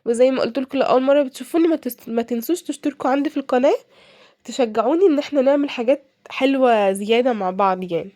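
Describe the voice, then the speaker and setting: A woman's voice: sits high, dark tone, dry recording, clean studio sound. A young woman with an Egyptian accent, congested from a cold, chatting casually with many fillers as she cooks and gives advice. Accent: Egyptian Arabic. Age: young adult. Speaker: cooking vlogger. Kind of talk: casual advice while cooking.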